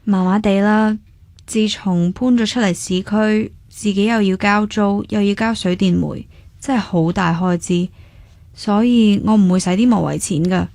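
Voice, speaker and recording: A woman's voice: medium pitch, steady tone, a little slow, a little mellow, no echo, lightly acted. A woman with a Hong Kong Cantonese accent acting a determined, thrifty young dance teacher in a radio drama, in a plain, casual, matter-of-fact way. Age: young adult.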